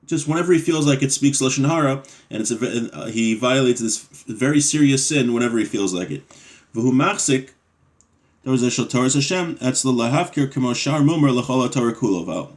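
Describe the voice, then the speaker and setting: A man's voice: mid-range voice, a little mellow. A man teaching a class on a religious text, reading passages aloud and then explaining them plainly, with some ums and restarts.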